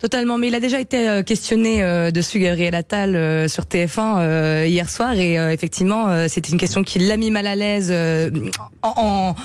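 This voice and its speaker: A woman's voice: mid-range voice, normal speed, darkish tone, no echo. A woman journalist speaking as a radio panel guest, hesitant with many filler sounds.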